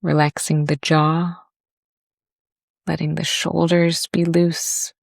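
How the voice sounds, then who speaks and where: A woman teaching a guided meditation and body scan in a gentle, unhurried manner with long pauses. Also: sits low, level tone, creaky, no room sound, studio quality.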